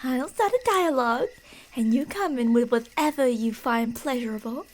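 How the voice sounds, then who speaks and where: A woman playing a creepy, delusional stalker posing as a park ranger in an ASMR roleplay, teasing and falsely sweet, slipping between soft speech and whispers. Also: sits high, lively intonation, normal speed. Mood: playful, coaxing.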